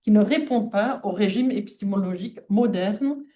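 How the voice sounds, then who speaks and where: A woman's voice: medium pitch, normal speed, dark tone. A woman who is not a native French speaker, giving a formal academic lecture read aloud from a prepared text. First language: not French (non-native speaker).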